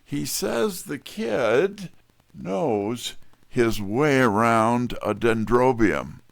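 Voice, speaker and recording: Wry detective narrator male actor, audio drama. Mid-pitched, gravelly fry, dry close recording. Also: lively intonation, extremely slow, no echo, exaggerated voice. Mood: brisk, businesslike.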